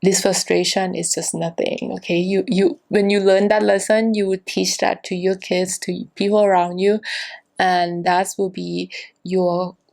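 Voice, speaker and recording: A woman giving a casual, rambling tarot reading to her viewers, full of fillers and restarts, with a gentle, reassuring manner. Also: on the low side, flat delivery, unhurried, gravelly. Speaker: tarot reader.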